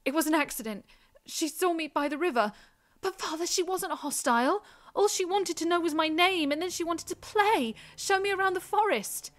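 Woman voicing a high, timid young dragon girl. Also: moderate pace, a bit bright. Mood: nervous, earnest. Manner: hesitant, pleading, stammering. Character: timid, earnest young female dragon daughter. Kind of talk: audio drama acting.

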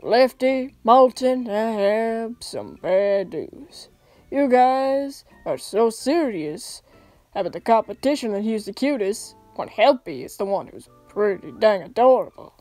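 A woman's voice: fairly high pitch, animated, a little slow, mellow, dry recording, soft background music, voice pushed down. A woman voicing a short, grumpy, touchy animatronic creature in a comic dub. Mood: matter-of-fact.

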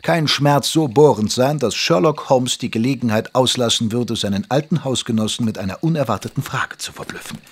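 Male actor as Victorian doctor-narrator for audio drama: ageing, loyal, wry, measured delivery, husky middle register. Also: medium pitch, close to an everyday voice. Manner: measured, literate storytelling.

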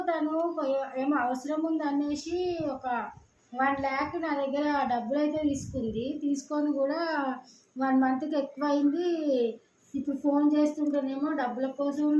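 High-pitched insistent woman with Telangana accent. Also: steady tone, moderate pace, warm tone. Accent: Telangana Telugu. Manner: insistent, repetitive, argumentative. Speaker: prank caller. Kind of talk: staged phone conversation, informal. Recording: dull, echoey room with background noise.

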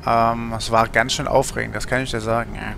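A man's voice: medium pitch, flat delivery, brisk, gravelly, over music. A man in his thirties from Germany, chatting casually on a gaming livestream in a rambling, colloquial way, thinking aloud. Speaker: streamer.

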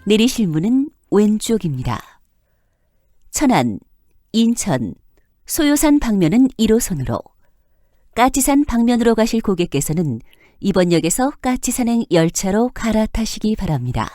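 A woman reading scripted subway announcements in a formal, measured manner. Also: medium pitch, very animated, mellow, no room sound, studio quality.

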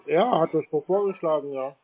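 A man with a husky voice in a phone conversation as a customer, answering tersely and sounding slow to understand. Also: fairly high pitch. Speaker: scam baiter posing as customer. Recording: narrowband phone line.

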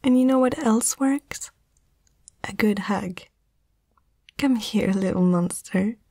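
A woman whispering softly and tenderly in a breathy voice, in a reassuring ASMR comfort roleplay, close to the microphone. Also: mid-range voice, slow pace, dry recording.

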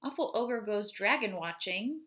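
A woman in her thirties reading children's poems aloud in an expressive, deliberate way, with chatty asides. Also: medium pitch. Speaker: read-aloud host. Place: small home room, indoors.

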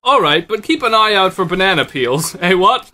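Woman doing Cucumber, an eccentric, lively sidekick. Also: mid-range voice, normal speed. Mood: unflappable. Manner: ends remarks with a quizzical verbal tic. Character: eccentric, fussy, unflappable sidekick named Cucumber. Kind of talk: comedic audio drama acting.

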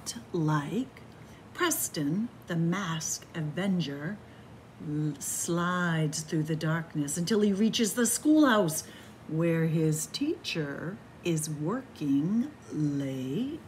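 A middle-aged woman reading a picture book aloud for children, dramatic, with hushed whispers and drawn-out words. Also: low voice, expressive, slight background noise. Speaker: library story time host. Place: small library room with bookshelves, indoors.

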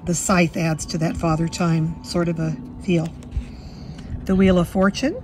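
A woman with a slightly hoarse voice from a cold, chatting fondly through a walkthrough of a card deck at her table. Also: fairly low pitch, little pitch movement, a little slow, darkish tone, slight background noise. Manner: fond, chatty, admiring. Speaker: tarot deck reviewer. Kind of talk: casual walkthrough. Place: indoors at a table.

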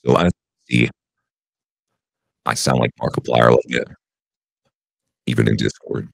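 A man talking casually through a real-time AI voice changer as an easygoing male online personality, gravelly and unclear. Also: extremely low pitch, little pitch movement, normal speed, no room sound, studio quality, pitched below the performer's own voice, heavily acted. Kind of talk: casual tutorial demonstration.

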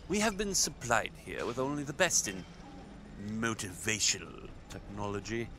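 An actor playing a sadistic Imperial overseer in a sci-fi audio drama, imperious and theatrical, with slow deliberate emphasis. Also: male voice, fairly low pitch, expressive, pushed and bright, slight background noise. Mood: coldly menacing. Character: sadistic, menacing male Imperial overseer commanding a slave camp.

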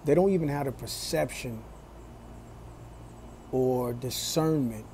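A man in his forties talking to his phone camera in a small room, slow and deliberate, with a preachy tone. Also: mid-range voice, warm tone, slight background noise. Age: 40s. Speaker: vlogger. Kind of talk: casual talk to camera.

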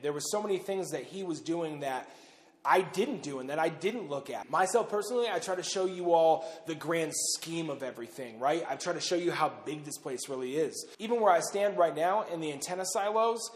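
A man's voice: fairly high pitch, animated, normal speed. A man in his thirties hosting a casual talk to camera inside a large underground silo, speaking loosely with frequent fillers. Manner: conversational, loose, with frequent "uh" and "pretty much". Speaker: bunker owner and host. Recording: long reverb of an underground silo.

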